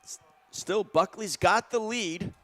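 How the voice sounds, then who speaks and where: Live track commentator: man with a higher-set voice, conversational delivery that builds with the race. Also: slow pace, pushed and bright.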